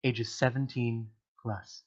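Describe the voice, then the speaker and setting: A man's voice: mid-range voice, little pitch movement, slow. Man narrating a story, dull lo-fi audio. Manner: stumbles over words and corrects himself. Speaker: reader of a comedic story to friends in a voice channel. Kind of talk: amateur dramatic story narration.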